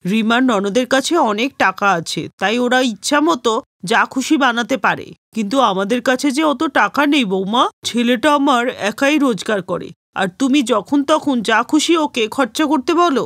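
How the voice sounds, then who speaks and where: Woman putting on a scolding, animated elderly mother-in-law. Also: on the high side, normal speed, no echo, studio quality. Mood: reproachful.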